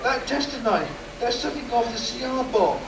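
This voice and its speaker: A performer in a live radio play as a desperate, overwrought male test pilot, urgent and halting. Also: medium pitch, lively intonation, lightly acted. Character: desperate test pilot man warning of doom. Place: convention hall stage, live performance. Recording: roomy distant live stage recording, noisy.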